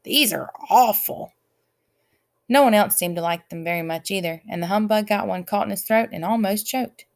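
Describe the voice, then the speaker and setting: A woman reading a children's story aloud as an expressive narrator. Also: mid-range voice, a little fast, few pauses. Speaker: audiobook reader.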